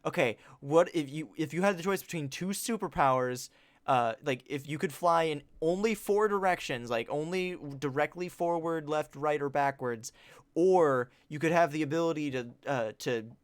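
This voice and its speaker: A man's voice: a little high, moderate pace. Joking man streaming a video game. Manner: joking, reads game text aloud. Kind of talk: casual livestream chat and game commentary.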